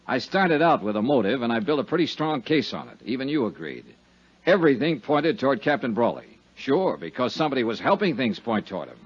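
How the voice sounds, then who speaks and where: Hardboiled american private eye, sure of himself, swingy raspy delivery, muffled 50s radio drama sound. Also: male voice, medium pitch, sing-song, fairly quick. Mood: insistent. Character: hard-boiled male insurance investigator, brooding and sure of himself. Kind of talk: 1950s radio detective drama acting and narration. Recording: dull, muffled 1950s radio recording.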